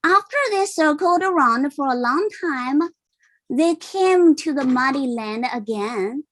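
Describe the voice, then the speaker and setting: Slow, high-voiced woman reading a storybook. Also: lively intonation, a bit bright, poor audio. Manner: simple, explanatory, checks in with listeners. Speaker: parent reading a picture book aloud. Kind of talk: read-aloud storytelling with asides.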